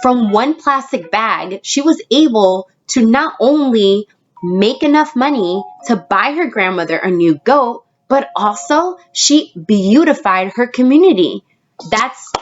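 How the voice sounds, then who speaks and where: A woman's voice: on the high side, lo-fi sound. A woman teacher doing a children's read-aloud, explaining as she goes and speaking directly to her young listeners. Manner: addresses children directly, explaining words and asking questions. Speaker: elementary school teacher reading aloud to students.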